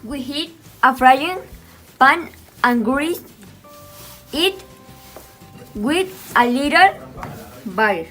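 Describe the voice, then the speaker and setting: A woman's voice: high-pitched, expressive. A young woman explaining a recipe step by step in a cooking demonstration. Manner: slow, step-by-step instructing. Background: soft music.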